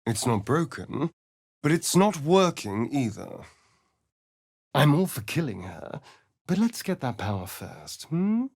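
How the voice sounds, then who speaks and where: An actor playing a sardonic, foppish vampire spawn rogue in a video game, with an arch, theatrical, disdainful drawl. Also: male voice, medium pitch, very animated, slow, creaky, a little mellow, breathy edge, no echo, clean studio sound, heavily acted. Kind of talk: video game voice acting, companion dialogue and narration.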